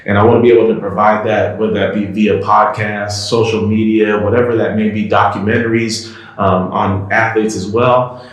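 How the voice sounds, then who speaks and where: Man, 30s, from the US, relaxed reflective guest, deep voice, echoey. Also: moderate pace. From United States. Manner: relaxed, reflective, advice-giving. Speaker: podcast guest, professional baseball player. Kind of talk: casual interview chat.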